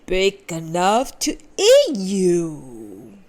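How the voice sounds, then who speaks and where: A woman voicing a sly, hungry male wolf in a storybook reading, drawn-out and slow. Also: mid-range voice, lively intonation.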